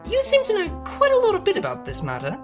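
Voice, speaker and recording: Female reader as reserved, affectionate cartoon pony musician, high and proper, animated intonation. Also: fast talker, music in the background, exaggerated voice. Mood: fond, composed. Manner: proper, precise diction.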